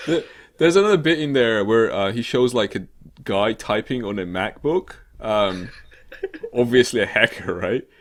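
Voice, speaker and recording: A man co-hosting a casual, sweary comedic news chat in a halting, rambling, sarcastic way. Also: on the low side, lively intonation. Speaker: co-host of a weekly games show.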